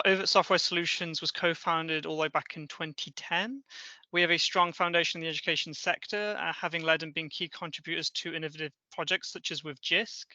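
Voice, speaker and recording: Bright, flat-toned man on a call. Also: on the high side, no echo, muffled recording. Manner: formal, reads from notes, flat delivery. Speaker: operations manager, guest presenter. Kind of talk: prepared company introduction.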